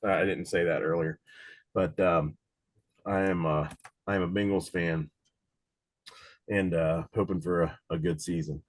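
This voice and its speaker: A man with a slightly raspy voice from a cold, running a casual live online study session for an insurance exam and talking in a loose, rambling way with many fillers. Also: sits low, fairly even intonation, a little slow, long pauses. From Cincinnati, USA.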